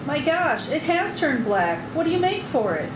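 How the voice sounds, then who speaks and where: High, animated, earnest woman, acted in a read. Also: normal speed. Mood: puzzled alarm. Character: earnest woman urging calm, animated and alarmed. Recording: echoey room with background noise.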